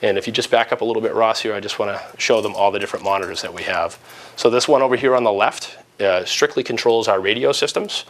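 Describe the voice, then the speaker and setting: A man's voice: on the low side, fast talker. A man explaining things informally, step by step, as a supervisor giving an interview and tour. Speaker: police dispatch supervisor. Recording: clearly noisy room ambience.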